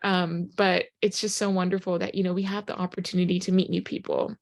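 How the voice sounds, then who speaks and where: A woman's voice: fairly low pitch, little pitch movement, fairly quick. A woman in her twenties whose first language is Japanese gives an upbeat, earnest motivational recruiting talk on a business call. She speaks in English and leans on "you know" as a filler. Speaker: presenter on a business opportunity call.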